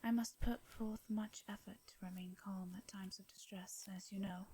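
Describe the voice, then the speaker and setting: A woman with an English accent playing a gentle, courteous vampire in an intimate fantasy audio drama, speaking softly and breathily in formal, hesitant phrases. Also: mid-range voice, steady tone, a little hiss or hum, close to an everyday voice. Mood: earnest, hesitant. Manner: soft breathy delivery, formal old-fashioned diction, hesitant pauses. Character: gentle, courteous female vampire, centuries-worn, wistful yet devious.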